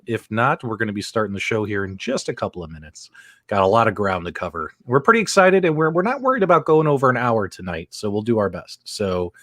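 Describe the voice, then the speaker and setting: An animated man with a mid-range voice hosts a casual live stream in a close, dry sound. He talks informally and directly to his viewers, with pitch that moves around freely. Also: dry recording. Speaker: host of a live panel show. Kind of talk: casual live-stream hosting and chat reading.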